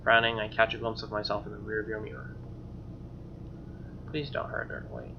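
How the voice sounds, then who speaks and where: A man reading visual novel narration aloud as a let's player, expressive, with casual asides and reactions. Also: fairly low pitch, moderate pace, background noise. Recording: dull lo-fi mic, music clearly behind. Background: game music.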